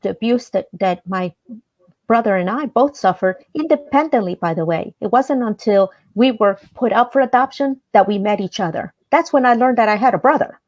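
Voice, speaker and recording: A woman's voice: on the high side, lively intonation, normal speed, a little mellow, no room sound. A woman from El Salvador, a native Spanish speaker, telling her personal story as an interview guest. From El Salvador, then Maryland, United States from age ten.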